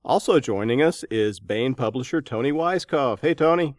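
A man's voice: medium pitch, lively intonation, normal speed, no room sound, clean studio sound. A man hosting a podcast, introducing guests and putting interview questions to them.

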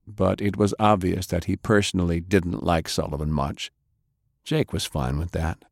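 A man's voice: deep voice, gravelly, a little mellow, no room sound, clean studio sound. A man reading scripted narration from a pulp action novel as an audiobook narrator.

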